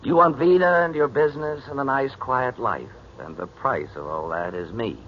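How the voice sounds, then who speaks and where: An actor playing a suave, idle playboy with a flippant, sardonic manner in 1940s radio drama style. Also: male voice, mid-range voice, normal speed, a bit bright, lightly acted. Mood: cool, sardonic. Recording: dull, noisy 1940s radio recording.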